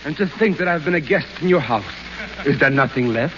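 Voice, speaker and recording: An actor playing a theatrical, sponging house guest in a 1930s radio play, melodramatic and affronted. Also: male voice, on the high side, animated, a little fast, a bit bright. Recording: muffled old radio broadcast with faint hiss.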